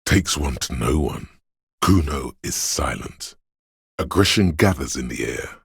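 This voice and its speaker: Game narrator casting: menacing primal instinct, gravel-heavy male voice, unhurried, deliberate, very dry close sound. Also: lively intonation, no echo, heavily acted. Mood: ominous. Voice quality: gravelly, husky, very deep. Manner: brooding, deliberate, ominous. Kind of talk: dramatic second-person game narration.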